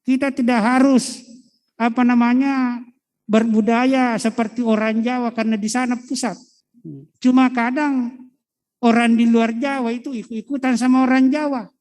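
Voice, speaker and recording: A middle-aged man, a history teacher lecturing students in a fatherly way and pausing on fillers as he thinks. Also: extremely high pitch, level tone, a little mellow. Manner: fatherly, calls listeners child, hesitant fillers. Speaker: history teacher, guest speaker.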